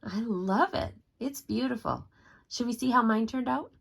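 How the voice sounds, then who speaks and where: Husky woman guiding children through crafts. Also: a little high, moderate pace. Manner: encouraging, simple short phrases addressed to a child. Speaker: preschool teacher hosting a children's craft video. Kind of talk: gentle step-by-step craft demonstration for young children.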